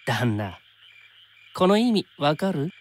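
An actor playing an easygoing young time traveler in a Japanese anime game, chatting in casual slang with an undercurrent of worry. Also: male voice, a little high, very animated, takes it slowly, exaggerated voice. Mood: serious, subdued.

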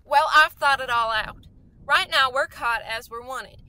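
A woman's voice: high-pitched, a little slow, pushed and bright, heavily acted. A woman playing a fervent, scheming artilleryman survivor in a radio play, talking in an insistent, slangy, folksy way. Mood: urgent, knowing.

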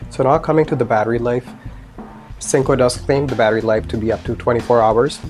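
A man's voice: mid-range voice, fairly even intonation, fast talker, a little mellow. A man in his thirties giving a casual product review in a conversational way, with asides. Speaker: gear reviewer, indie filmmaker. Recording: music under the voice. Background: instrumental music with guitar.

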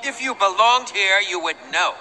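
A man's voice: high-pitched, animated, normal speed, sharp tone, slight background noise, heavily acted. An actor playing a suspicious, haughty man in a video game, with a curt, imperious and disdainful manner. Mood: haughty, challenging. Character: suspicious, haughty bearded man making a shady deal.

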